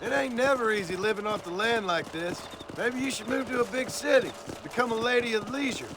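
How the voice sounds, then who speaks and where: An American man playing a weary, courteous cowboy gunslinger with a gravelly western drawl and a dry, understated manner in a video game. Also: extremely high pitch, brisk, background noise. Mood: dry, wry. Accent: American, rural western drawl. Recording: game audio under loud music.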